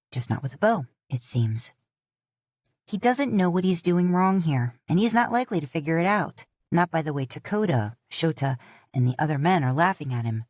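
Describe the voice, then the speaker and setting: A woman's voice: sits low, no room sound, clean studio sound. A woman reading a scripted story aloud in the third person, as an audiobook narrator. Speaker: fan fiction audiobook narrator.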